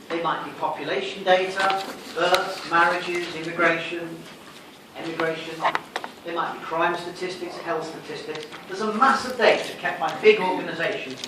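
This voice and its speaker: A man giving a classroom revision lecture, explaining in a didactic way and answering his own questions. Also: high voice. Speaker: sociology lecturer. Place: lecture room, recorded from a distance. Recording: echoey room, distant mic, noisy.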